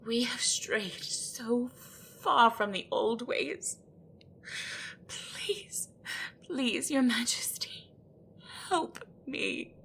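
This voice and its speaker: A desperate gryphon acted by a woman speaks in a fairly high voice. She is frightened and deferential, pleading slowly and haltingly as she stumbles over words in a fantasy audio roleplay. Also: expressive, a bit bright, whispered, heavily acted. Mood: anguished pleading. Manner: halting, pleading, breathy stammering.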